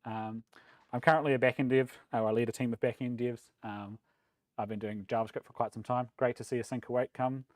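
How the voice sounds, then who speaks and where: A man from New Zealand with a New Zealand accent, giving a relaxed, self-deprecating talk at a developer meetup, with plenty of ums and uhs. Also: medium pitch, brisk, dry recording. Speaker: conference speaker. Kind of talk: casual tech meetup talk. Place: meetup room.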